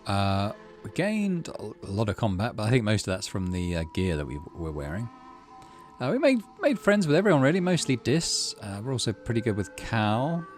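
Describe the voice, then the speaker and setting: Low-pitched, expressive man commentating a game. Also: normal speed, soft background music. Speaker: gaming video host. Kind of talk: casual game commentary, reading story text aloud.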